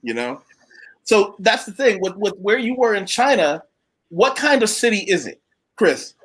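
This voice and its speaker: A man's voice: sits high, very animated, measured pace, fairly bright tone, breathy edge. A man hosting a casual live chat, speaking in a blunt, opinionated storytelling manner.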